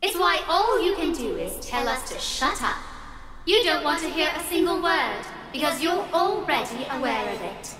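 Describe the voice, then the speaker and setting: A high, swooping female monster taunts from broken mirrors in a horror game. Her sneering words ring in long reverb over music. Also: big pitch swings, a bit bright, slight background noise. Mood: cruel mockery. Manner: taunting, sneering, drawn-out jeers. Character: mocking female monster speaking from mirror shards. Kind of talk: visual novel horror voice acting. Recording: echoing, distant, long reverb, music behind.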